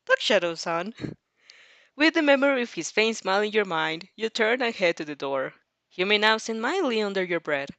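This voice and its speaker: A woman narrates a fan fiction story with a very animated, swinging pitch and casual asides. The recording is dry and close. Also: fairly quick, creaky, no room sound. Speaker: fan fiction reader and reactor.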